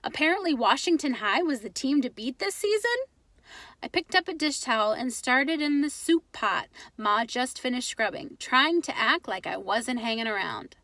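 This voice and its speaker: A woman reading a children's book aloud as an expressive storyteller. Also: high voice, moderate pace, dry recording.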